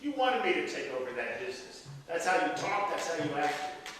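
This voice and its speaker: A man's voice: on the high side, very animated, crowd noise. An actor playing an exasperated, dutiful middle-aged son, arguing defensively with his father in a stage play. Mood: defensive, hurt. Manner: exasperated, halting when defensive. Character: aggrieved, dutiful grown son of elderly father. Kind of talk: one-act stage play dialogue. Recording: echoey hall from far off, faint noise.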